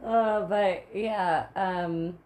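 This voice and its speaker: A woman's voice: mid-range voice, steady tone, extremely slow, darkish tone. A woman co-hosting a casual podcast, rambling, self-deprecating and laughing often. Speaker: podcast co-host.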